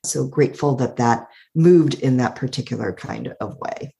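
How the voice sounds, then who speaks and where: A middle-aged woman with a calm, soothing voice, thoughtfully telling her life story as an interview guest and laughing often. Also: extremely low pitch, normal speed, gravelly. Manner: warm, thoughtful, laughs often, uses "like" a lot. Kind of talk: reflective personal storytelling.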